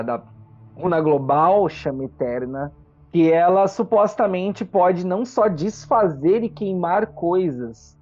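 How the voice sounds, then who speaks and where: A game master improvising narration for a tabletop role-playing game, explaining things in halting phrases. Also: medium pitch, mellow, dull audio.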